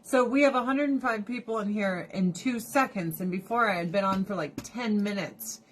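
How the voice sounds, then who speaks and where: A woman talks straight to her phone camera on a live stream, close and informal. She is blunt and swears freely, keeps the energy up, and breaks into laughter often. Her voice sits at an ordinary pitch for a woman. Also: normal speed, a little hiss or hum, low-quality recording. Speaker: direct sales rep live streaming. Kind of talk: casual live stream chat to viewers. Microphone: phone or camera held close.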